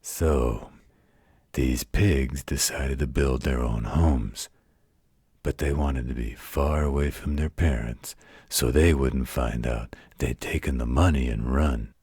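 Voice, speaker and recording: Werewolf protector, male actor: bottomless bass, unhurried, fondly exasperated and parental, for intimate bedtime roleplay audio. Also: a little mellow, no echo, clean studio sound, heavily acted. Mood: wry storytelling. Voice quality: very deep, gravelly, husky. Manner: slow, coaxing, patient, mock-stern.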